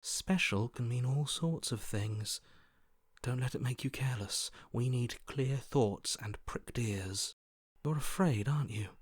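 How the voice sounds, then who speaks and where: A man voicing a wary, skittish prey creature for a video game, hushed and breathy, often close to whispering, with a cautious, watchful delivery. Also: medium pitch, dry recording. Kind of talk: video game character acting.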